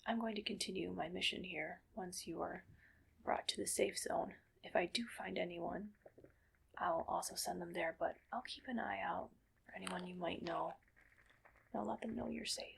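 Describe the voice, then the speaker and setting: A woman playing a gentle, weary cyborg soldier in a whispered ASMR roleplay, speaking breathily in slow, halting, soothing phrases. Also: on the low side, vocal fry, husky, heavily acted. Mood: gentle, reassuring.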